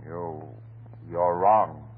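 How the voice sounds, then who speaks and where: An actor playing a nervous, evasive young householder in an old-time radio drama, speaking in anxious, clipped, repeated phrases. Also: male voice, deep voice, steady tone, drawn-out words, breathy edge, full character voice. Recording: noisy, narrow-band vintage radio recording.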